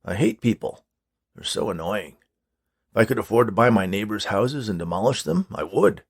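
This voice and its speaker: Man as a creaky, grumpy old loner. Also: lowish voice, lively intonation, normal speed, full character voice. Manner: curt, sardonic. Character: grumpy elderly misanthropic man, dismissive and bored.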